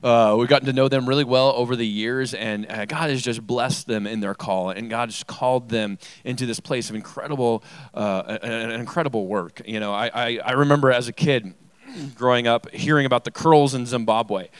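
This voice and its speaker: A male pastor giving an informal church introduction, speaking earnestly and haltingly and repeating phrases. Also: medium pitch, fairly even intonation, smooth, no echo.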